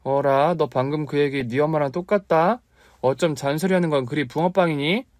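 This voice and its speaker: A man voicing a warm, scatterbrained young aunt in a spoken story, his pitch raised high. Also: a little fast, dry recording, studio quality, close to an everyday voice. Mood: teasing.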